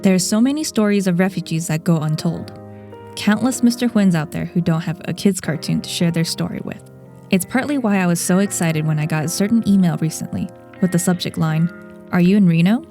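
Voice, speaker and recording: A woman hosting a podcast, giving a measured, reflective scripted narration. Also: fairly low pitch, warm tone, faint music behind. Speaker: podcast host.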